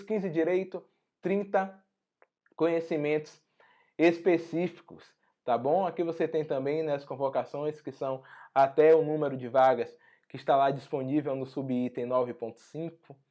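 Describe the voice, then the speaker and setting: A hoarse man with a Brazilian Portuguese accent, teaching an online exam-prep lesson in a conversational way full of tag questions. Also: a little high, mellow, highs cut off. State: hoarse after a flu. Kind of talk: online lesson walking through an exam notice.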